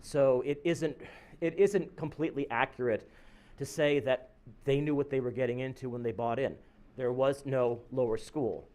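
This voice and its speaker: A man, a local resident, giving a measured public comment at a city planning meeting to correct an earlier point. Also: mid-range voice, steady tone, a little mellow. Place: council chamber podium.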